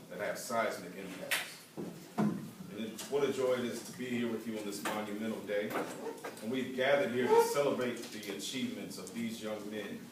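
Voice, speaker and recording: A man giving a formal address at a graduation ceremony, genial and lightly joking before turning formal. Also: lowish voice. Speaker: ceremony speaker. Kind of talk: ceremonial speech. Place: large hall at a graduation ceremony. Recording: echoey hall from far off with loud noise.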